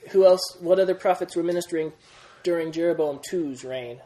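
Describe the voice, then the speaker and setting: A young man teaches a Bible class with energy. His voice sits a little high for a man. He quizzes the group as he goes and restarts his sentences often. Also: brisk, mellow. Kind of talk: classroom Bible lesson with questions.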